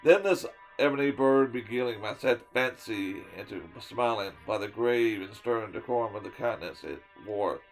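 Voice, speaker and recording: A man giving a dramatic reading of a poem, swinging from loud declaiming to hushed whispers and sometimes tripping over a word. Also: fairly low pitch, moderate pace, hardly any pauses, faint music behind, low-quality recording. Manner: stumbles and restarts words now and then. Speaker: storyteller reading a poem aloud.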